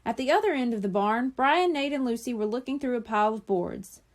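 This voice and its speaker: A woman reads a children's story as an audiobook narrator. Her voice is high-pitched, and her expressive delivery rises and falls a lot. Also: brisk. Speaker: audiobook reader.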